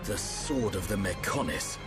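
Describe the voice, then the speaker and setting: Calm veteran swordsman, measured talk, voice rises and falls a lot. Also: deep voice, music in the background. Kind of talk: video game cutscene acting.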